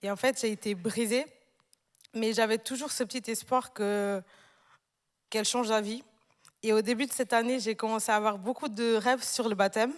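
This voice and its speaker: A woman in her twenties from Portugal giving a personal church testimony on stage, hesitant, with many pauses and fillers. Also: on the high side, steady tone, big-room sound. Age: 20s. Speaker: newly baptized church member. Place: large church hall.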